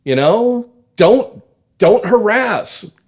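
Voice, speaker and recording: A man's voice: high voice, animated, drawn-out words, slightly rough. A man speaking as a podcast guest and playwright, chatting casually in an interview.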